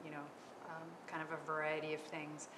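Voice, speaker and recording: A middle-aged woman from California, an artist on a panel, talking about her work with many hesitant pauses. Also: deep voice, completely flat delivery. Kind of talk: unscripted panel talk. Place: art gallery. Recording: echoey gallery with long reverb and noise.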